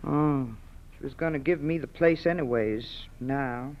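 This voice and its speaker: An actor playing a blunt, wary older farmer with drawn-out rural talk in a 1940s radio drama. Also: male voice, mid-range voice, natural voice. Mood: curious, wary. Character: gruff, wary older farmer, a gangster's in-law. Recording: dull old radio recording.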